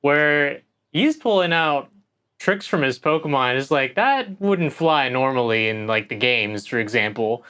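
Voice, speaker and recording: Guy co-host chiming in w quick jokes, bright lively voice. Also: medium pitch, smooth. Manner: chimes in with short quips and laughs. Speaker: co-host of a gaming talk show. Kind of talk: casual chat.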